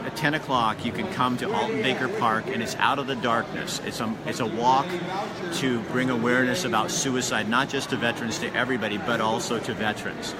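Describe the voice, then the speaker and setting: Male host, mid-pitched, casual and earnest, urges the audience, outdoor street noise loud behind him. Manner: earnest, preachy, chatty. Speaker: livestream host. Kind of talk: casual live interview and appeal.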